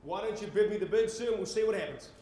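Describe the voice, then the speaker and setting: A man's voice: high-pitched, animated, fast. A man in his forties with an Australian accent, calling a live property auction in a theatrical, projecting, courteous manner. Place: on stage in a large indoor conference hall. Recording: echoey conference hall with noise.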